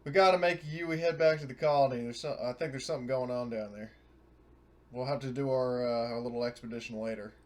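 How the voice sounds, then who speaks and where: A man's voice: mid-range voice, steady tone, fast talker, lightly acted. A man voicing a folksy, worried crew captain in a tabletop sci-fi game, informal and hesitant. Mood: worried, businesslike.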